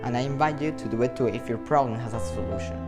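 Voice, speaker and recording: A man in his twenties with a Venezuelan accent, a motivational speaker talking to camera in a playful, animated way and acting out imagined dialogue. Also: mid-range voice, quick pace, over loud music. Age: 20s. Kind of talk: talk to camera.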